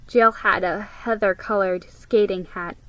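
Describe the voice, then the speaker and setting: Bright-voiced woman reading a story, unhurried. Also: medium pitch, steady tone, no echo, rough recording. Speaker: storybook reader. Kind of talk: dramatic reading of a children's story.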